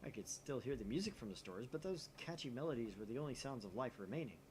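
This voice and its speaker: A man reading a visual novel aloud in theatrical, expressive narration. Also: mid-range voice, quick pace, a little hiss or hum. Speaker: gaming channel host.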